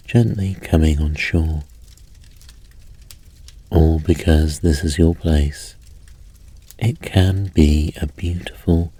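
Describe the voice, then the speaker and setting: Male sleep hypnosis guide, unhurried and gentle, soft breathy husk, measured pauses. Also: very deep voice. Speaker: hypnosis and meditation host. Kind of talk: guided sleep hypnosis, slow soothing narration.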